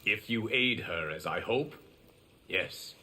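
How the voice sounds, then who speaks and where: A man voicing a calm, wise and benevolent god in a video game, solemn and deliberate. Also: on the low side, a little hiss or hum, heavily acted. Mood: gravely kind. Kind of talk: video game character acting.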